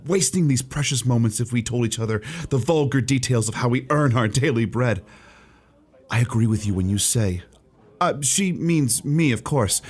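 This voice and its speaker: Man playing an earnest, husky young clerk. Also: medium pitch, darkish tone, natural voice. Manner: eager, hesitant stammers, frank. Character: earnest, lovestruck, outspoken young male shop clerk. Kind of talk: radio play acting.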